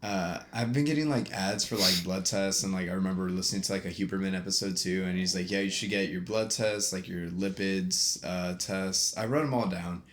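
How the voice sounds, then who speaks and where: A man's voice: deep voice, brisk, few pauses, fairly bright tone. A young man hosting a casual podcast, talking loosely with lots of fillers and restarts and laughing easily. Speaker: podcast host. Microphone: USB desk microphone.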